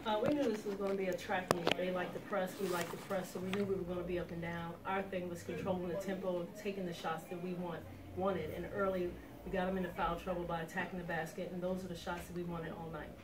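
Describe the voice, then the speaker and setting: Middle-aged woman coach, direct and confident, slightly low voice, caught from afar in an echoey room with crowd noise behind. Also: level tone, fast talker. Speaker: head basketball coach. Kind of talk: post-game press interview. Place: small indoor office room with sofa. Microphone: far camera. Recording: roomy echo from a distant mic with crowd noise.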